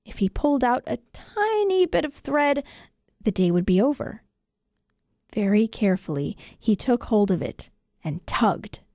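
A woman storyteller reading children's tales in an expressive audiobook narration style. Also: fairly high pitch, measured pace, no room sound, studio quality. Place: radio studio.

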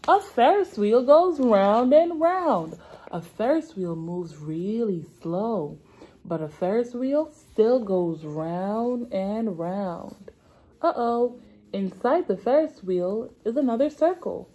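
Woman, young adult, preschool read-aloud, unhurried, slightly husky, simple repetitive phrasing. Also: on the high side, mellow, poor audio. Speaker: preschool teacher. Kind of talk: children's read-aloud lesson. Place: indoor classroom.

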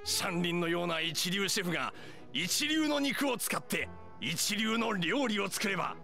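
An actor playing a stern, direct hunter chief in an anime game, blunt and firm. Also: male voice, sits high, very animated, moderate pace, creaky, heavily acted. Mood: earnest persuasion. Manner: blunt, firm, matter-of-fact. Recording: studio voice over soft music.

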